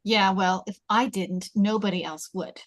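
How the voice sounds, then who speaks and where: A woman's voice: mid-range voice, steady tone, a little slow, dry recording, lightly acted. A woman reading a prim, popular teenage girl who is sulky and defensive, at a table read of a film script.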